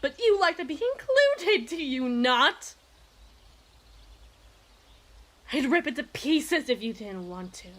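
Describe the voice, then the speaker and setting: A woman's voice: sits high, very animated, unhurried, a bit bright, full character voice. A woman playing a possessive, imperious evil queen in an ASMR roleplay, speaking in formal, teasing, theatrical diction. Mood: predatory, coaxing.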